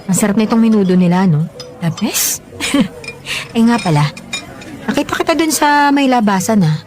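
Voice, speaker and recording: An actress playing a kindly, concerned coworker who listens sympathetically in a Filipino radio drama, with conversational delivery. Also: female voice, a little high, lively intonation, normal speed, close to an everyday voice. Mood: friendly. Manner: gentle, sympathetic, chatty. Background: background music.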